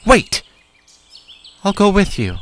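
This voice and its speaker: A man's voice: sits high, wildly swooping pitch, slow, fairly bright tone, heavily acted. A man playing a wounded, frustrated son who yearns for love, in an audio drama. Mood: resolved.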